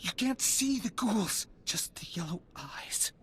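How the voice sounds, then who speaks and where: An actor playing a traumatized, jumpy soldier survivor in a video game, with a frantic, stammering delivery. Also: male voice, sits high, big pitch swings, slow pace, a little hiss or hum, heavily acted. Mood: haunted, urgent.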